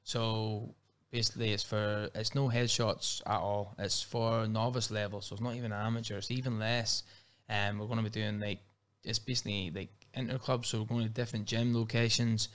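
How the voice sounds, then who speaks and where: A man with a Northern Irish accent and a lowish voice. He talks casually as an interview guest, rambling, restarting his sentences and leaning on "like" as he goes. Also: little pitch movement, moderate pace, fairly bright tone. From Northern Ireland. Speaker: MMA fighter and guest.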